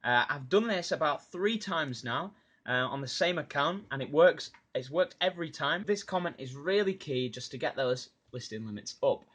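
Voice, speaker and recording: A man's voice: on the high side, expressive, moderate pace, fairly bright tone. A man talking informally and a little hesitantly, as a video creator and online seller. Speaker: YouTuber and eBay seller. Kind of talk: casual vlog talk and phone call.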